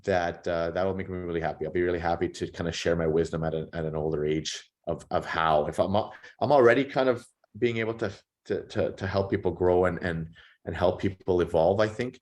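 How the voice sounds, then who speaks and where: A man in his thirties answering interview questions in a relaxed, reflective way, often repeating words as he gathers his thoughts. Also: deep voice, fairly quick. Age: 30s. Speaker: podcast guest.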